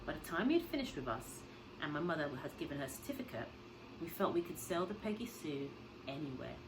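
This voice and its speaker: A woman reads a children's novel aloud in a slightly low voice. Her delivery is dramatic and very lively, swinging widely in pitch like a teacher telling a story to pupils. There is clear noise on the recording. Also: brisk. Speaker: schoolteacher reading a story to pupils.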